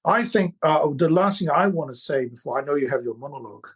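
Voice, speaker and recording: Brazilian guy chatting about albums, stops and repeats words a lot, slightly higher voice. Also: animated, fast talker, slightly rough. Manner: hesitant, repeats words while searching for them. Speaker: podcast co-host. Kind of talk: casual chat.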